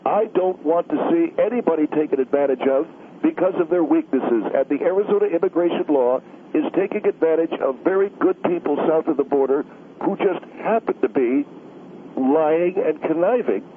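A man's voice: fairly high pitch, normal speed, lightly acted. A man voicing a smug, pompous, self-righteous older male pundit who is a guest on a call-in radio show, heard over a telephone line. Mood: indignant. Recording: tinny phone line with faint hiss.

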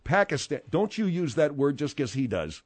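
A man's voice: on the high side, very animated, moderate pace, no echo. Exasperated wisecracking male radio host. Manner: exasperated, wisecracking, reads news with asides. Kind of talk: comedic radio banter.

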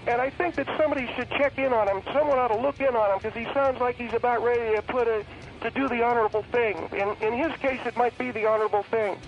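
A man playing a polite, naive young caller with a halting, deferential, solemn manner on a comic radio phone-in. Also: very high-pitched, fairly even intonation, pitched above the performer's own voice. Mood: solemn concern. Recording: tinny, noisy phone line.

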